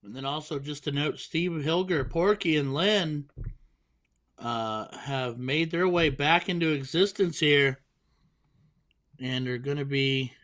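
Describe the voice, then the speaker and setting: A man's voice: fairly high pitch, bright, forceful tone. A man commentating a darts tournament, joking and poking fun at himself. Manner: playful, self-mocking banter. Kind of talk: joking live sports commentary.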